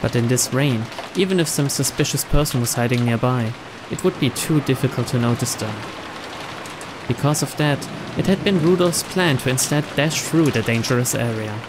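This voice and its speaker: A man reading visual novel narration in a steady, measured way. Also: medium pitch, noisy background.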